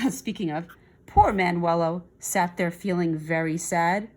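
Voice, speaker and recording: A woman's voice: fairly low pitch, unhurried, a little mellow, slight background noise. A woman reading a children's story aloud expressively, with chatty asides to young listeners. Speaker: storybook reader.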